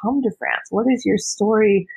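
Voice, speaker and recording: A woman's voice: mid-range voice, normal speed, creaky, a little mellow, breathy edge. An American woman hosting and interviewing on a podcast in a casual, chatty style. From United States.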